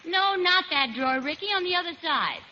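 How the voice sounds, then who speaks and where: A woman's voice: very high-pitched, animated, a little fast. An American woman playing a composed, drily witty wife and mother in a 1940s radio sitcom. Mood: motherly. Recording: muffled, noisy 1940s radio broadcast.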